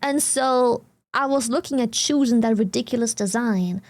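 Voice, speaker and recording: A woman's voice: on the high side, moderate pace, darkish tone, no room sound. A woman from Germany with a German accent, chatting casually on a live stream, laughing often and swearing bluntly.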